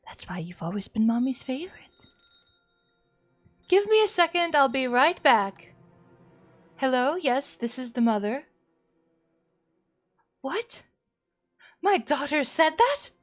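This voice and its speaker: A woman's voice: high-pitched, sing-song, slow pace, long pauses, voice pushed up, heavily acted. A woman voicing a doting, needy, strained mother in a horror visual novel, cooing and complaining emphatically. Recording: music behind the voice.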